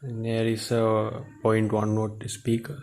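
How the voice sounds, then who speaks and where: A man giving an unscripted product demonstration in halting, hesitant short phrases, as the presenter of a gadget teardown video. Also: fairly low pitch. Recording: clear steady background noise.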